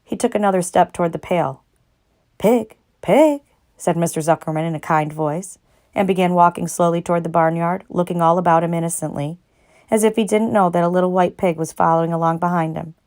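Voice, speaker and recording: A woman with a fairly low-pitched voice reads a children's story aloud. She narrates expressively, as a storyteller. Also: fairly even intonation, fast, darkish tone. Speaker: narrator, read-aloud reader.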